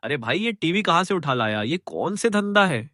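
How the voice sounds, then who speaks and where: A man's voice: a little high, sing-song, normal speed, a bit bright, no echo, clean studio sound. A man voicing a gullible, greedy village labourer in a cartoon story, with an exaggerated, exclaiming delivery. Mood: puzzled. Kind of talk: Hindi cartoon moral story dialogue.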